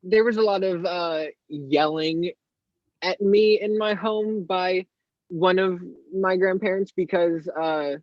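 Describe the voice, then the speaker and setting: An eighteen-year-old man with a higher-pitched voice, a guest on a podcast, talking candidly and rambling with lots of fillers. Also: slow, dry recording, dull audio. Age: teens. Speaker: guest artist. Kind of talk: casual interview chat.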